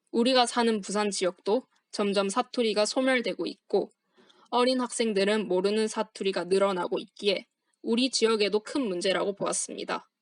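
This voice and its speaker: Young female student presenter, steady formal read, dry close voice-over, middle pitch. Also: no room sound. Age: young adult. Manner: formal, steady, reading from a script. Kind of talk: read-aloud project presentation.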